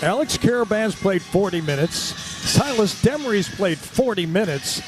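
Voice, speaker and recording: High-pitched excitable man calling basketball. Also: normal speed, music in the background, low-quality recording. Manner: excitable, shouts on big plays. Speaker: play-by-play sports commentator. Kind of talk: live radio basketball play-by-play.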